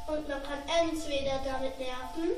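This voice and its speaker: A woman playing a lost, hesitant but chatty little earworm in a children's radio play, speaking deliberately and emphatically. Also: high-pitched, fairly even intonation, darkish tone, recorded from a distance, lightly acted. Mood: unsure, musing. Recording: roomy echo, off the mic, music behind.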